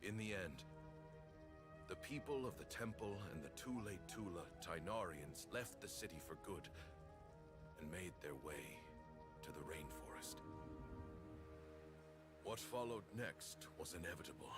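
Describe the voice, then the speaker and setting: An actor playing a weary, solemn old temple leader in a video game, speaking in a grave, deliberate, oratorical manner. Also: male voice, deep voice, animated. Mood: grave, sorrowful. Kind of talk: dramatic video game monologue. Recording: game audio under loud music.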